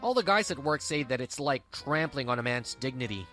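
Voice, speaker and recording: A woman's voice: very deep voice, expressive, brisk, fairly bright tone, soft background music. A woman voicing a selfish, dismissive young husband in a manga dub, whiny and condescending.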